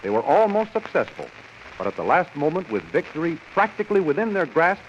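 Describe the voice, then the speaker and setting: A man's voice: on the high side, very animated, fairly quick. An American man announcing and narrating a 1930s radio serial in a dramatic, declamatory style with formal enunciation. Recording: dull, noisy vintage radio recording.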